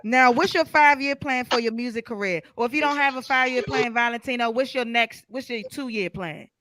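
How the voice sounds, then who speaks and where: High, bright-voiced man in his thirties. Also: normal speed, dry recording, clean studio sound. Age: 30s. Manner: relaxed, encouraging, streetwise slang. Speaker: podcast host. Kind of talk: casual podcast interview.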